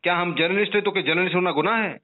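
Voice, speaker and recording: A man's voice: high-pitched, rapid-fire. A man making an insistent, indignant complaint as a journalist over the phone, with repeated pointed questions. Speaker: journalist caller complaining to a call centre. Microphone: telephone. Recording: muffled narrow-band phone call recording.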